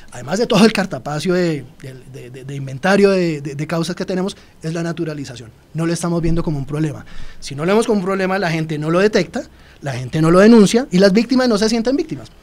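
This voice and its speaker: Man, Colombian accent, radio guest expert, fast talker, explanatory. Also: fairly high pitch, lively intonation, a little mellow. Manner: explanatory, addresses listeners directly. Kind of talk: radio interview.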